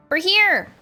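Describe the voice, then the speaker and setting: A woman's voice: very high voice, pushed and bright. A woman doing casual, playful gameplay commentary as a streamer, full of quips and teasing asides. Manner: quippy, dry teasing asides. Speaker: game streamer. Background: game music.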